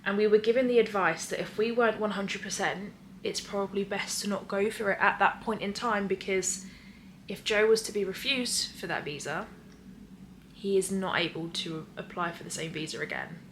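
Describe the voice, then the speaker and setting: A woman's voice: medium pitch, normal speed, a little hiss or hum. A young English woman with a slight Australian lilt, chatting casually and rambling with many fillers in a vlog Q&A. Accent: English, with Australian traces. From England. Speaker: vlogger. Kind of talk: casual chat, Q&A.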